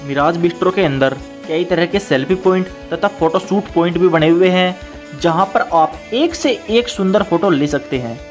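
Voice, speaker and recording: A man's voice: sits high, moderate pace. A man reading scripted promotional narration as a vlog voice-over. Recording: voice-over with faint guitar music.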